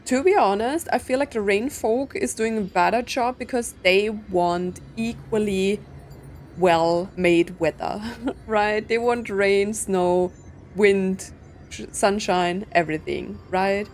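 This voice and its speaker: A woman chats casually through a story game she is playing. She sounds puzzled and thinks aloud, leaning on filler words. Her voice sits at a middle pitch, with some faint noise in the recording. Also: slow, a little mellow. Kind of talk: casual reaction commentary.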